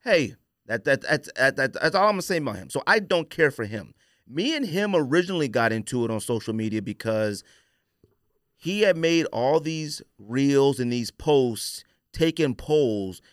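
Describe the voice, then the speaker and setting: A man's voice: mid-range voice, very animated, normal speed, dry recording, studio quality. A man hosting a casual podcast, giving a blunt, emphatic, opinionated rant peppered with rhetorical questions. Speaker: podcast host.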